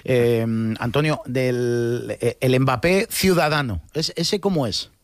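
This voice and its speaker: Male sports host, casual talk, mid pitch, very dry close sound. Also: takes it slowly, dry recording. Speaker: radio sports show host.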